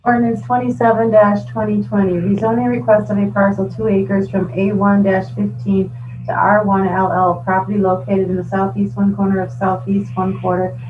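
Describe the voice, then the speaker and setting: A woman's voice: mid-range voice, flat delivery, normal speed. A woman working as a county clerk, formally reading ordinances aloud item by item at a board meeting. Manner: procedural, recites legal descriptions item by item. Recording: muffled, distant mic with loud hum.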